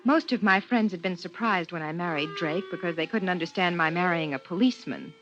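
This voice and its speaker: A woman's voice: lowish voice, fairly quick, lightly acted. An actress playing an earnest, persuasive married woman biologist in a 1950s radio drama. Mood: measured narration. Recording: dull 1950s radio recording, faint music.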